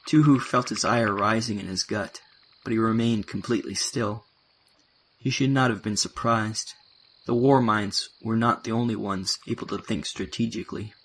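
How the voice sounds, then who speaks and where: A man's voice: fairly low pitch, fairly even intonation, moderate pace, a bit bright, slight background noise, poor audio. A man narrating a science fiction audio play in a scripted storytelling style.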